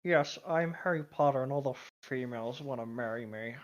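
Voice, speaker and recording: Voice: medium pitch, brisk. A wry co-host chats casually and reads fan fiction aloud, tossing in jokes. The sound is slightly dull and lo-fi. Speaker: podcast co-host.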